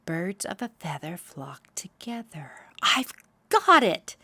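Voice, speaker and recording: A woman's voice: lowish voice, very animated, takes it slowly, pushed and bright, slightly rough, heavily acted. A woman voicing a playful, childlike young male owl in a storybook, eager to please and given to sing-song. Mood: earnest.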